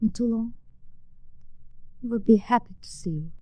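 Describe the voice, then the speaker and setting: An actress playing a glamorous, earnest young woman resistance fighter in a 1940s radio drama, speaking with grave, deliberate formality. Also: a little high, dark tone, dry recording, natural voice, rough recording. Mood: quietly sincere. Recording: restored 1940s radio recording.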